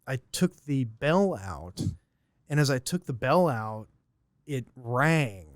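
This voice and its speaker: A man's voice: mid-range voice, expressive, slow, no echo. A man co-hosting a podcast, telling nerdy stories with eager enthusiasm. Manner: nerdy, enthusiastic tinkerer who tells stories. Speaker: podcast co-host. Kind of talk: casual chat.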